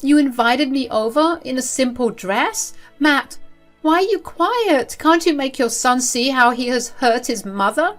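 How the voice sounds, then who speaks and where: Woman acting out a entitled middle-aged mother-in-law, spiteful, accusatory, melodramatic storytime villain, low-key music behind. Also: a little slow, fairly bright tone. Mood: wounded, pleading.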